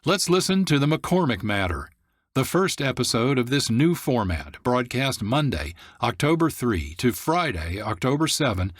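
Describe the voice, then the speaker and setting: A man narrates as host of a radio programme, reading from a script. His voice is mid-pitched. The sound is dry, with no room echo. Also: big pitch swings, normal speed. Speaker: host and producer.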